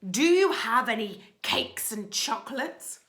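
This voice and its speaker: Storybook villain, female reader: greedy rat highwayman, boastful and commanding, forceful delivery. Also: animated, a little slow. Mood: greedy, demanding.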